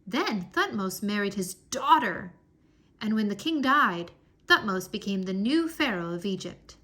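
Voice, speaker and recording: A woman reading a history book aloud for children in an expressive, deliberate storytelling manner. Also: medium pitch. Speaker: read-aloud narrator.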